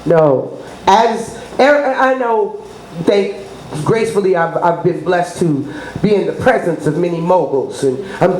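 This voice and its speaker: A woman's voice: on the low side, lively intonation, a little slow, a little mellow. Rambling, salesy woman from New Orleans. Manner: rambling, salesy, name-dropping, religious asides. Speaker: interview guest, business consultant. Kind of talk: promotional interview talk. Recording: echoey room, faint noise.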